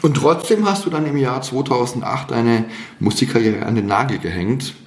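A man's voice: medium pitch, normal speed. A man hosting a casual interview, enthusiastic and chummy, backing up his guest with frequent agreeing murmurs. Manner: enthusiastic, chummy, many backchannel "mhm"s. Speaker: podcast host, interviewer. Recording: echoey room.

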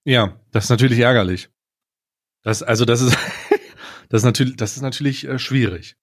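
A man's voice: mid-range voice, moderate pace. A man hosting a casual comedic podcast, talking in an informal, jokey, slangy way. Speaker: podcast host.

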